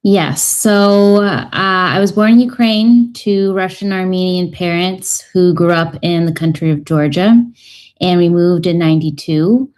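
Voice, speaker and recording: A low-pitched woman in her thirties speaks as a guest on a radio talk show. She talks in a thoughtful, halting way, with frequent ums and uhs, explaining her cooking. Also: darkish tone, rough recording. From United States. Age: 30s. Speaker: cookbook author guest. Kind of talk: radio interview.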